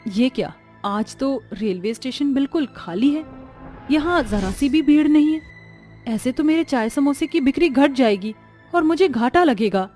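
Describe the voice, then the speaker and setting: Woman voicing a high-pitched young sing-song street tea seller. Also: lively intonation, moderate pace, warm tone, faint music behind. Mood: worried. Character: hardworking young daughter-in-law hawking tea and samosas.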